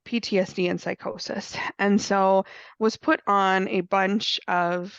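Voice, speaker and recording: A woman's voice: medium pitch, flat delivery, a little slow, creaky, clear, resonant tone, dry recording. A woman in her thirties who has a cold, earnestly telling her personal story on a video call with many fillers. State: has a cold, clears throat. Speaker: guest speaker sharing lived experience. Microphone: computer mic on a video call. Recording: dull, dry video call audio.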